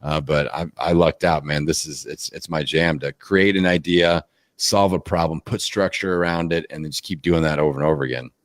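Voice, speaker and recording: A man with a very deep, low voice chats as an interview guest, energetic and rambling with plenty of fillers. The sound is dry and close, with no room echo. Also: a little fast. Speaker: podcast guest, fitness coach. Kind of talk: casual interview chat. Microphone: video call.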